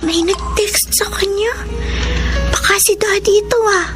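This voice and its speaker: A woman's voice: very high voice, fairly even intonation, unhurried, music in the background. An actress playing a sad, lonely young girl in a radio drama, speaking in a childlike, plaintive way. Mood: curious. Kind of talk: radio drama acting with spoken inner monologue.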